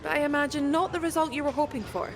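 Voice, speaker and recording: A woman's voice: sits high, fast. A woman playing a polite, professional motorsport reporter doing probing interviews in a video game cutscene. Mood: sympathetic, probing.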